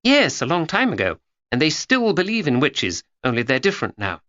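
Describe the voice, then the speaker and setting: A man's voice: fairly high pitch, huge pitch swings, normal speed, bright, forceful tone, dry recording, dull audio, pitched above the performer's own voice, heavily acted. A man voicing a chattering, know-it-all schoolgirl who lectures and teases. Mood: gossipy. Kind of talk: audiobook character voice.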